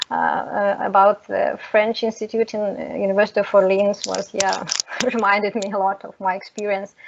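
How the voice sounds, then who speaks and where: A woman from Lithuania, a native Russian speaker, giving an online presentation in a hesitant, halting way over a dull, slightly noisy call. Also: mid-range voice. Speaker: seminar presenter.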